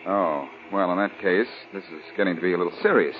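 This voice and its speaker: A man's voice: sits low, fast talker, close to an everyday voice. A man playing a wisecracking, unflappable adventurer in 1940s radio detective style, breezy, sardonic and playful. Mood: cool, probing. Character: wisecracking, unflappable adventurer hero under threat. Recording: muffled, tinny old-time radio recording.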